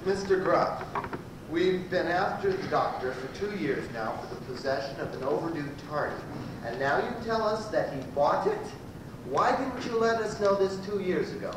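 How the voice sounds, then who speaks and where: A man's voice: a little high, expressive, moderate pace, natural voice. A man playing a blustering prosecuting lawyer in an amateur old-time radio comedy. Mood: exasperated. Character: blustering male prosecuting lawyer in a sci-fi courtroom spoof. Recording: echoey distant room, noisy amateur tape.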